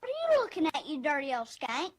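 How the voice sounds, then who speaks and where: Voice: sits high, huge pitch swings, brisk. A performer voicing a brash, crude little heckler who taunts and insults people. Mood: taunting, rude.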